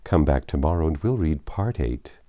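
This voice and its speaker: Male audiobook narrator: low, highly varied intonation, dramatic and deliberate storytelling, dry close sound. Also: animated, dark tone, no echo, highs cut off.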